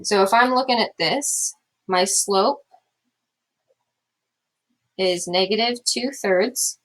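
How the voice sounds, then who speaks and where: Young female teacher, slightly scratchy voice, calm explanatory lecture delivery. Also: mid-range voice, slow. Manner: steady explanatory pace with fillers. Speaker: math teacher. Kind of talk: recorded classroom lecture.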